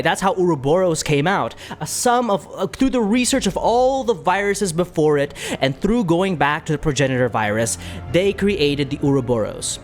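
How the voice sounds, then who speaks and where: A streamer chatting casually and commentating on a game, in a rambling, animated way that repeats words. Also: medium pitch. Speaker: streamer reacting to a video game playthrough. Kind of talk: casual livestream chat, commentary.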